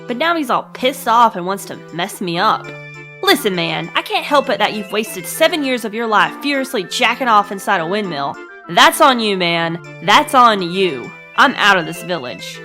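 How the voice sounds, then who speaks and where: A woman's voice: medium pitch, bright, forceful tone. Young woman doing snarky scripted comedy narration, crude n emphatic, voice jumps around a lot, some music behind. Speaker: host of a comedic gaming web series.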